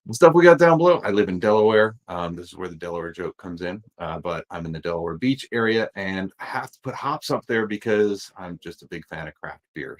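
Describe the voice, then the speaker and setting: Deep-voiced upbeat man hosting a stream. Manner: upbeat, chatty, many fillers. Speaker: live stream host and course author. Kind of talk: casual webinar talk. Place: home office.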